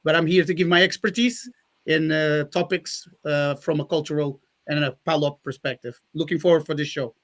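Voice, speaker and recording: A man's voice: on the high side, normal speed. A man from Mozambique who grew up in Portugal, talking casually as a talk show co-host. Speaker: senior co-host, dance teacher and DJ.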